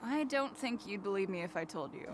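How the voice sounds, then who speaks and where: An actress voicing a tough, guarded and weary young woman fighter in an animated series, with music playing loudly behind her. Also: mid-range voice, brisk, lightly acted. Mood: guarded, subdued. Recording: played back under loud music.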